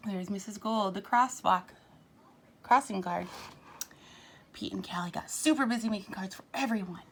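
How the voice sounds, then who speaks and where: A woman's voice: mid-range voice, moderate pace, gravelly. A woman reading a picture book aloud to young children like a teacher, expressively, with simple asides and questions to the listeners.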